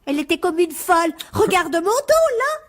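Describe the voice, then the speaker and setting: An actress playing a frightened, pleading teenage daughter in a French radio drama, anxious and insistent. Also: female voice, extremely high pitch, big pitch swings, moderate pace.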